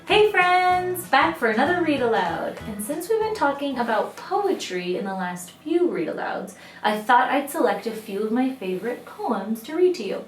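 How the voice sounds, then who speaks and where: A woman teacher reading poems aloud to children, with expressive, clearly phrased delivery that addresses young listeners directly. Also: on the high side, normal speed, few pauses, faint music behind. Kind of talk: read-aloud of children's poetry. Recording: roomy echo, distant microphone.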